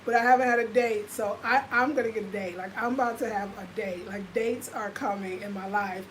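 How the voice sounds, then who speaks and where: A woman's voice: mid-range voice, steady tone, fairly quick, a little hiss or hum, poor audio. A woman in her fifties with an American accent, chatting casually and confidingly to viewers on her livestream, full of fillers. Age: 50s. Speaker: lifestyle vlogger.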